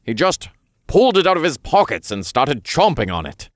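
A man voicing a tired male archangel who speaks in a slow, sighing, exasperated way. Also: a little high, sing-song, no echo, studio quality, voice pushed up. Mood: weary.

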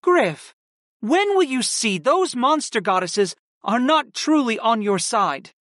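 A narrator voicing a stern, secretive aunt who speaks sharply and impatiently. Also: female voice, on the high side, very animated, slow, dry recording, studio quality, pitched above the performer's own voice. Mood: insistent.

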